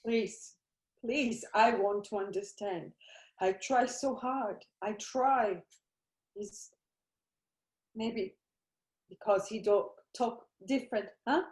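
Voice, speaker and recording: A woman's voice: fairly high pitch, takes it slowly, pauses often, a little mellow. An actress playing a desperate Italian immigrant mother with an Italian accent, speaking broken English. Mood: pleading. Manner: broken English, pleading then raging. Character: grieving immigrant mother, older woman, desperate and fierce. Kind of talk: broadcast drama acting. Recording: roomy echoey recording.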